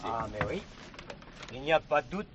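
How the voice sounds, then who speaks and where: Actor as commanding police captain, lively intonation. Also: male voice, medium pitch, normal speed, lo-fi sound, close to an everyday voice. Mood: formal, firm. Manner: commanding, formal, probing. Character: authoritative, methodical male gendarmerie captain leading a murder inquiry. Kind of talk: French stage-play dialogue. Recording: faint background noise, 1970s production.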